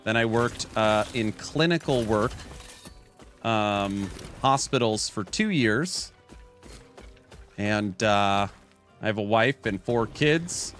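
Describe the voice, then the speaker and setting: Late-30s male game streamer, unhurried, jokey chat-reading banter. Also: on the low side, a bit bright, faint music behind. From California. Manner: jokey, reads viewer chat aloud and banters. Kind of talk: casual livestream chat while gaming.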